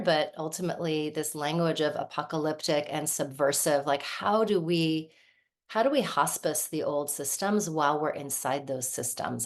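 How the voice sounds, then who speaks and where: Woman, 40s, from Lebanon, raised in the US; low-pitched, reflective workshop facilitator who keeps checking in with the room. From Lebanon, raised in the United States. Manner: thoughtful, often checks in with "right?". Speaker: somatic movement practitioner leading a workshop.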